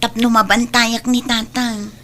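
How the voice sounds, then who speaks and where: A woman's voice: fairly high pitch, flat delivery, unhurried, sharp tone. A woman with an Ilocano Filipino accent playing a dutiful, long-suffering adult daughter in an audio drama, earnest and pleading. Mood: reassuring.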